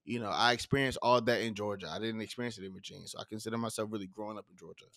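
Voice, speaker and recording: A man's voice: on the low side, steady tone, very fast, a bit bright, no echo. A man, hosting a casual podcast interview, talking loosely with many fillers and restarts on a studio mic. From southern United States. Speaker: podcast host. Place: home studio. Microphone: studio mic with headphones.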